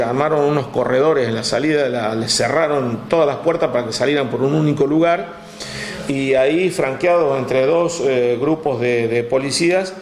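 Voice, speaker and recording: A man with an Argentine accent, telling history in a relaxed chat, with many "este" fillers, reading out figures from a text. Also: mid-range voice, gravelly, roomy sound. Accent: Argentine Spanish. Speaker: guest storyteller. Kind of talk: conversational history talk.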